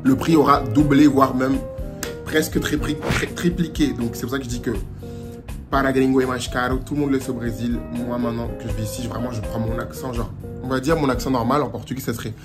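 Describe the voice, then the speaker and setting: A young man from France giving casual travel advice in a lively vlog, dropping Portuguese phrases as he talks. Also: mid-range voice, fast. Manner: chatty and animated, slips into Portuguese phrases. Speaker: vlogger. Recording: music playing under the voice.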